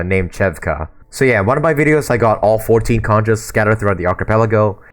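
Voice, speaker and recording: Young male gaming host, hoarse from a sore throat, rambling casual commentary. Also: on the low side. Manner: loose, with frequent fillers and repeats. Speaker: gaming YouTuber.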